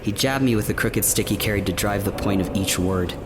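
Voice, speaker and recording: A man's voice: fairly low pitch, fairly quick, background noise, lightly acted. A man playing a haunted adventurer in a fantasy radio play, recounting memories in a subdued, reflective way. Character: haunted young male adventurer recalling enslavement.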